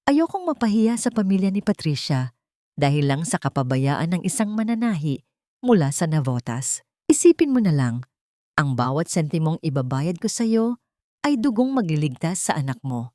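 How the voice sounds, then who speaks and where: A woman's voice: deep voice, sing-song, normal speed, warm tone, no echo. Woman acting out a cruel young groom, Filipino accent, arrogant, scheming, commanding, audiobook drama, dry close sound. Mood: contemptuous. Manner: contemptuous, commanding.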